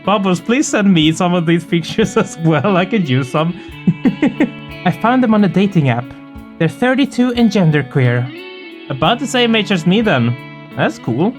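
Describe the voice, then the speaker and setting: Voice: mid-range voice, expressive, unhurried. A game host with a mid-range, androgynous voice talks through a visual novel in an informal, candid way. The delivery is casual and slightly hesitant, moving between chat and read-aloud text. Faint plucked guitar music plays behind the voice.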